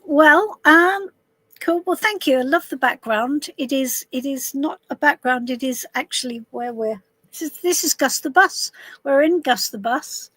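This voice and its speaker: A middle-aged woman from southwest London, her voice a little husky, chatting casually to viewers on a live stream from inside a camper van, calling people darling. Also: high-pitched, unhurried, no echo, low-quality recording. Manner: chatty, warm greetings to viewers, calls people darling and love. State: a bit husky voice. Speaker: tarot reader hosting a live stream.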